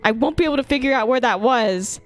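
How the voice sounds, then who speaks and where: A woman's voice: a little high, animated, fast, dry recording. A young woman reacting casually to a game on a live stream, slangy and playfully dramatic. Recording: loud game music behind the voice. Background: loud video game music.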